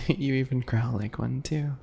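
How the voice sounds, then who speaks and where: Casting: male actor as smug little yandere for ASMR roleplay, unhurried, gravelly and breathy, sinister sweetness. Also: medium pitch, dark tone, heavily acted. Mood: smug teasing. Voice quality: breathy, slightly gravelly, dropping into whispers. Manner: slow, teasing, mock-sweet. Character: small smug obsessive yandere man, teasing and sinister.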